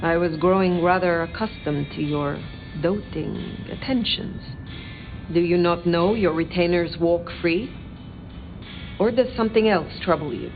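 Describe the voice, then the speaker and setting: An elder vampire sheriff from a video game, formal and direct. Her voice sits a little low, with deliberate, archaic phrasing and unhurried authority. The audio is a touch dull, with faint music beneath. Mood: wry. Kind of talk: video game dramatic dialogue.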